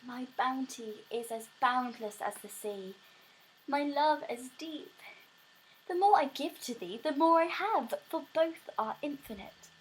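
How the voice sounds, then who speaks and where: A woman's voice: high-pitched, unhurried, slight background noise, rough recording. A woman acting a young, lovestruck, earnest and anxious maiden in a Shakespearean audio drama, with theatrical verse delivery, recorded at home on a phone. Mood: ardent.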